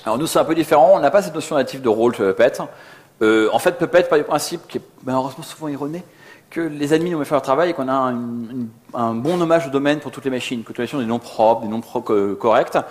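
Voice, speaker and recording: Man, very quick pace, mid-pitched, slangy, conversational, informal technical presentation. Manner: slangy, conversational, acts out little dialogues. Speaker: conference co-speaker, software developer. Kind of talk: informal technical conference talk.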